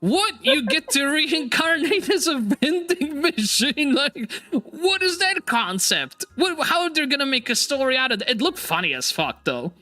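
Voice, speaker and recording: Man, high voice, very bright tone, second-language English, chatty, laughs a lot. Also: unhurried, hardly any pauses. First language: not English. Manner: laughs often, animated and teasing. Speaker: streamer host. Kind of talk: casual chat.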